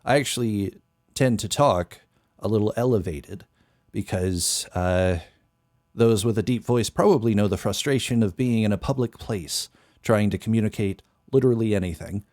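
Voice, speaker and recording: Young male streamer, very low voice, rambling casual delivery, dry close mic. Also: moderate pace, a little mellow, no room sound. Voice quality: very deep voice. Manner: meandering, thinks aloud with many fillers. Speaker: music producer streamer. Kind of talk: casual live-stream talk. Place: small bedroom studio. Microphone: studio mic on boom arm, headphones.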